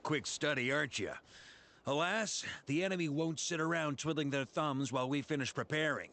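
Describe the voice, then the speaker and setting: An actor playing a seasoned expedition commander in a fantasy game, wry, commanding and philosophical, with folksy turns of phrase. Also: male voice, on the high side, normal speed, sharp tone. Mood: resolute.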